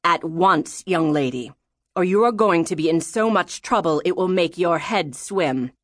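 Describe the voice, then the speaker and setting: A woman's voice: on the low side, lively intonation, unhurried, no room sound, clean studio sound. A woman voicing a big, stern, commanding father in an audiobook story. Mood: stern, demanding. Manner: commanding, authoritative. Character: imposing, stern, massive father, demanding obedience.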